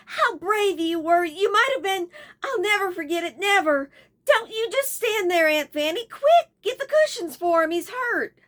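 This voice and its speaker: A woman's voice: very high-pitched, expressive, moderate pace, a bit bright, voice pushed up. A woman playing a frantic young noblewoman. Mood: anxious. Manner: breathless and pleading. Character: distressed young woman of high rank.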